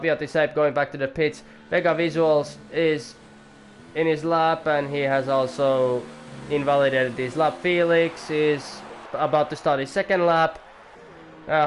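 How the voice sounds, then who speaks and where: Young male league commentator, rambly and jokey, slightly high-pitched. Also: slight background noise. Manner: rambling, chatty, jokey asides to viewers. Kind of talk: live sim-racing commentary.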